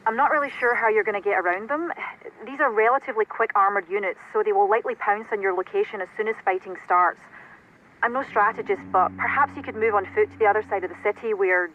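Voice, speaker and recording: An actress playing a precise, formal military intelligence officer, giving businesslike briefings over the radio in a video game. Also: female voice, mid-range voice, fast talker. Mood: measured, doubtful. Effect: radio transmission filter. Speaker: intelligence and operations support officer. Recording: dull band-limited radio sound, faint noise.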